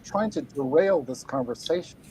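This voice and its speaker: A man's voice: on the high side, animated, moderate pace, background noise. A middle-aged man, a guest writer on a video call, ranting angrily with swearing, repeated words and stumbling restarts. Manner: angry, profane, repeats himself, stumbling restarts. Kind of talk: angry livestream rant.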